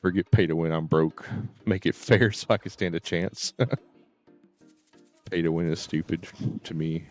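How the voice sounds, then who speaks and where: A man's voice: low-pitched, fairly even intonation, moderate pace, pauses often, darkish tone, faint music behind. A man streaming games and chatting casually in a hesitant, rambling way. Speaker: game streamer. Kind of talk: casual livestream chat.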